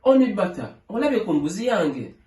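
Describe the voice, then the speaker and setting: A woman reading a children's story aloud phrase by phrase, deliberately, acting out the dialogue. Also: medium pitch, sing-song, warm tone. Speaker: storybook reader and translator. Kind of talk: children's read-along storytelling in translation. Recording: echoey room with faint noise.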